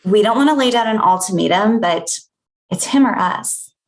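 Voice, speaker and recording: A woman's voice: mid-range voice, animated, normal speed, close to an everyday voice. A woman playing a judgmental, dry young Ivy League friend in a comedy script table read. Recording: roomy, echoey sound.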